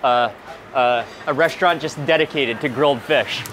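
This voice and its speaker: A man in his thirties excitedly narrating a seafood meal for a food vlog, savoring each bite with hums and exclamations, recorded on a small clip mic in a restaurant. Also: medium pitch, normal speed, background noise. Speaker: food vlogger. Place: restaurant dining room and outdoor grill. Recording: busy restaurant crowd behind. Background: restaurant crowd chatter.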